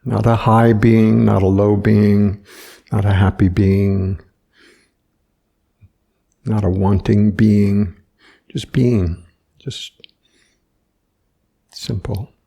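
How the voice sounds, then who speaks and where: A man leading a guided meditation as a teacher, speaking gently and without hurry, leaving long pauses between short phrases. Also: lowish voice, fairly even intonation, gravelly, husky.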